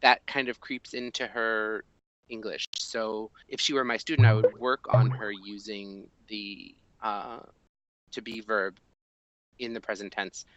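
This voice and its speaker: A man in his thirties, a language teacher, talking through an assessment form at his desk, thinking aloud with many ums and pauses. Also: fairly low pitch, fairly even intonation, a crowd in the background, rough recording. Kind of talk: screen-recorded walkthrough of an assessment. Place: small indoor room at a desk.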